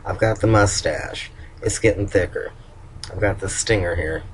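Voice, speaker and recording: An older man with a low voice that turns nasal at times and sounds hoarse, talking haltingly in a casual video update, with many ums and restarts. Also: a little hiss or hum, poor audio. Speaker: vlogger.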